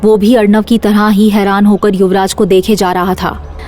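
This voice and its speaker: A woman's voice: mid-range voice, flat delivery, moderate pace, a little mellow, soft background music. A woman reading scripted suspense narration for an audio drama series.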